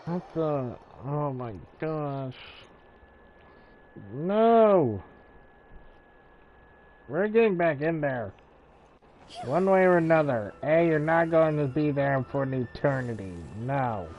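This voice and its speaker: A gaming streamer shouting short outbursts at the screen while reacting to a cutscene. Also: male voice, fairly high pitch, animated, slow, pauses often, highs cut off, a little hiss or hum. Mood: outraged. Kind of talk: reacting loudly to a game cutscene.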